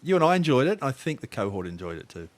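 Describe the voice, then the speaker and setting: A man's voice: medium pitch, sing-song, quick pace, background noise. A man hosting a podcast interview in a loose, rambling way, full of fillers and restarts. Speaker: podcast host.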